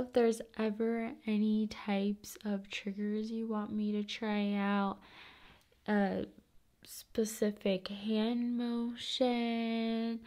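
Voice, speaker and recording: A woman speaking in a soft, breathy, often whispery voice, chatting gently to viewers in an ASMR style. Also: on the high side. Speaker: ASMR video creator and host.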